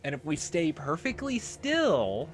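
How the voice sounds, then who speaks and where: A man hosts a video game playthrough in a casual, joking way. His pitch is lively and swings around freely as he goofs about. Faint music plays behind him. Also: unhurried. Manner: jokey, breaks into silly singing and goofing. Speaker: let's play host.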